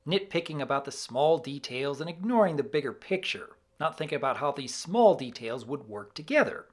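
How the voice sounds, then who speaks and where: A man's voice: a little high, expressive, brisk. A man giving semi-scripted review commentary as the host of a video.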